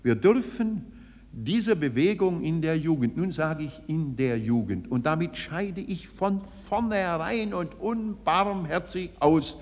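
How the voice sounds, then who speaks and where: A man's voice: on the high side, animated, measured pace, darkish tone. An older man giving an emphatic, oratorical speech with rhetorical tags and repetitions. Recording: archive recording with long hall reverb.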